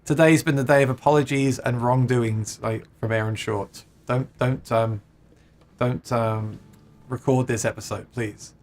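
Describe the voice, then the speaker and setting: A man talks loosely through a live chat stream, reading out comments from viewers. His pitch sits in the middle range, and he often laughs and breaks off to restart a thought. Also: steady tone, unhurried, darkish tone. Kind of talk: casual chat about guitars.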